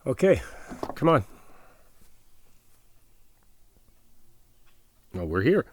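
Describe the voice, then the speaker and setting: A man playing a caring, laid-back best friend in an ASMR roleplay, speaking conversationally with hesitant, reflective pauses. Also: mid-range voice, big pitch swings, pitched above the performer's own voice. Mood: gently concerned.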